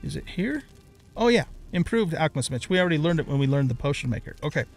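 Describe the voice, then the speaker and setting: Higher-pitched man, casual gaming commentary. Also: very animated, fast talker, faint music behind. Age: middle-aged. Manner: rambling, thinking aloud as he plays. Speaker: gaming YouTuber, host. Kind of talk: casual let's play commentary.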